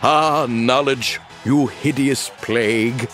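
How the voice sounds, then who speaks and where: A man's voice: medium pitch, slow pace, a bit bright, soft background music, voice pushed down. A man voicing a brainy talking dog that speaks in a pompous, old-fashioned way. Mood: lofty. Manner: pompous, archaic phrasing.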